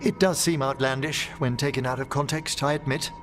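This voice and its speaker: An actor playing a scholarly, fascinated mage in a fantasy video game, speaking formally and precisely. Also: male voice, medium pitch, fairly even intonation, brisk, music in the background, lightly acted. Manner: formal, articulate, erudite.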